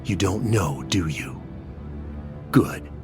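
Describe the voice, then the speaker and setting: Deep, mocking supervillain. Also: male voice, lively intonation, slow pace, over music. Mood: smug threat. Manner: taunting, theatrical, condescending. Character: menacing, mocking male supervillain in powered costume. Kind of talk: comic book dub acting.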